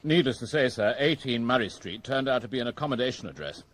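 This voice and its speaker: An actor playing a resolute, brisk police inspector in a radio crime drama. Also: male voice, mid-range voice, animated, a bit bright, a little hiss or hum, lightly acted. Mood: businesslike. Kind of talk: BBC radio drama acting.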